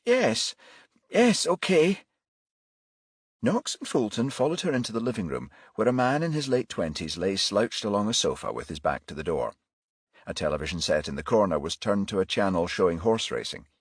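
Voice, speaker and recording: A man's voice: low voice, expressive, fairly quick, breathy edge, no room sound, clean studio sound. A man reading scripted crime fiction narration as an audiobook narrator.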